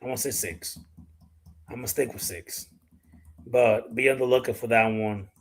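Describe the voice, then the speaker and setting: A man's voice: medium pitch, steady tone, normal speed, slight background noise. A middle-aged man from the Dominican Republic with a Dominican accent, hosting a casual live chat, talking bluntly and swearing freely. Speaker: livestream host.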